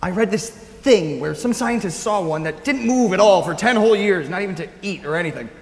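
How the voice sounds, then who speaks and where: A man's voice: sits high, expressive, brisk, creaky. A man playing an awkward, earnest, kindhearted teenage boy in a stage musical, speaking hesitantly and rambling with stammers. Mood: awkward concern. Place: school auditorium staged reading. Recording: echoey auditorium, piano music behind.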